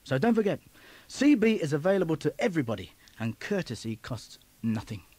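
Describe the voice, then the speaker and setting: Animated male instructional narrator, dry studio sound. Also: on the high side, brisk, breathy edge, no room sound.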